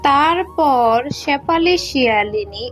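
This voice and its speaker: High-pitched woman narrating a cartoon story. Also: expressive, takes it slowly, a bit bright, faint music behind.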